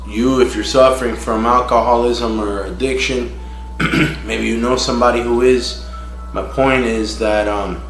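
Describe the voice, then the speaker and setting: A man from Miami sharing his personal recovery testimony in a frank, halting way, with many "you know" fillers. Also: on the low side, fairly bright tone. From Miami, United States. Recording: roomy echo with faint music.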